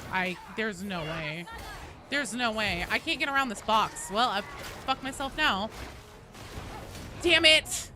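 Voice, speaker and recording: A woman's voice: fairly high pitch, lively intonation, takes it slowly, a little hiss or hum. A woman doing casual live game commentary as a streamer, chatty, exclamatory and freely swearing. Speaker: game livestreamer.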